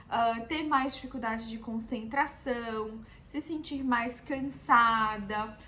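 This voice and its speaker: High-voiced Brazilian woman doctor explaining. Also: fairly bright tone, slight background noise. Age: 30s. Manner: patient and explanatory, often adds "né" to check in. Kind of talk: explanatory health video talk.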